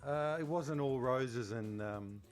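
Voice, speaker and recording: Man, podcast co-host, joking self-deprecating manner, mid pitch, faint music underneath. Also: slow pace. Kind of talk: casual interviewing chat.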